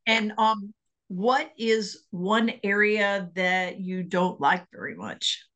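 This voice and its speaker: A woman's voice: mid-range voice, slow pace, fairly bright tone. A woman hosting an interview, upbeat and encouraging, asking short questions. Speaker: interview host. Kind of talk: casual interview chat.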